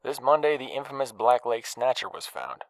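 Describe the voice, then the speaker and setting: A man's voice: medium pitch, sing-song, normal speed, noisy background, pitched above the performer's own voice, close to an everyday voice. A man voicing a television news reporter, delivering a formal broadcast bulletin.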